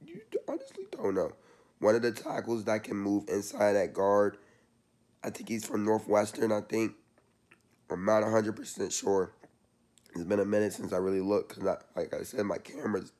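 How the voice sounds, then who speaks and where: Hoarse young man chatting casually. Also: fairly low pitch, a little mellow. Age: young adult. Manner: loose and chatty, slangy. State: hoarse, losing his voice. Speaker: sports channel vlogger. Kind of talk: casual vlog update. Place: small room indoors. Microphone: laptop webcam up close.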